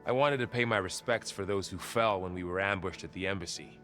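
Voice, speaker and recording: Young game warrior, fairly low voice, calm, loyal, sincere, thoughtful, natural delivery. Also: male voice, brisk, faint music behind. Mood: sincere, easygoing. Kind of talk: naturalistic video game cutscene acting.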